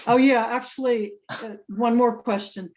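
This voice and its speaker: A woman's voice: on the high side, fairly even intonation, a little slow, a little mellow, low-quality recording. A woman on a video call asking follow-up questions, hesitant and stumbling. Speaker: convention planning team member.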